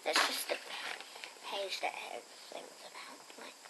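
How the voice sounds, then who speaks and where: A young girl reading a picture book aloud expressively, with chatty, quieter asides to her listeners. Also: a little slow, very noisy. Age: child. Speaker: storybook reader and host.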